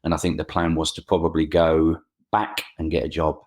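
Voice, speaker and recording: A middle-aged man with an Essex twang and a very deep voice talks through his story as a guest on a remotely recorded interview. He stumbles and repeats words often and circles back to restart his sentences. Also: level tone, fairly quick. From England. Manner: hesitant, frequent word repeats and restarts. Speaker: guest, freelance photographer. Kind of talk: casual interview chat.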